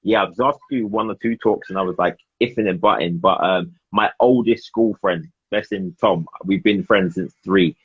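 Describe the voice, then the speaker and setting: A man's voice: low-pitched, normal speed, few pauses, breathy edge. A man hosting a casual home video call, chatty and rambling, laughing often. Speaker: podcast host. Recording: dull lo-fi sound.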